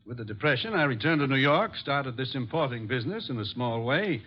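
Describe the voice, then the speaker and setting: An actor playing a polished, self-assured importer in an old radio drama, courteous and wordy. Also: male voice, mid-range voice, expressive, fairly quick, lightly acted. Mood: guarded, self-assured. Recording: noisy, dull old radio recording.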